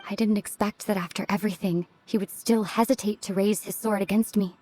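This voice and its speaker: An actress playing a composed young warrior princess in a video game, with a gentle, calm and wistful manner. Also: female voice, medium pitch, a little fast, slight background noise, close to an everyday voice. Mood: calm, reflective. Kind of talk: dramatic video game dialogue.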